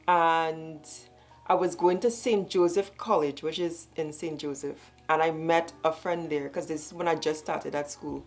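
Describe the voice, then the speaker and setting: Fast-talking Trinidadian woman telling a story. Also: on the low side. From Trinidad and Tobago. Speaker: vlogger. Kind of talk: casual storytime vlog.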